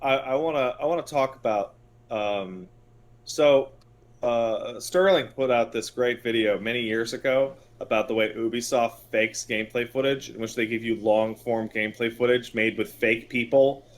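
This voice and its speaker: A man's voice: mid-range voice, steady tone, normal speed. A man co-hosting a casual podcast, ranting with emphatic, hyperbolic exasperation. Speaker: podcast co-host.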